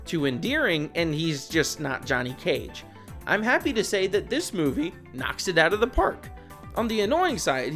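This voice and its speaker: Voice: medium pitch, fairly bright tone. Wry video essayist over background music. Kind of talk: scripted video essay commentary with casual asides. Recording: music behind the voice.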